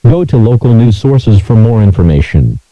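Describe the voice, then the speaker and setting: A man's synthesized voice reading scripted emergency alert messages in a flat, measured, robotic delivery. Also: fairly low pitch, no echo, muffled recording.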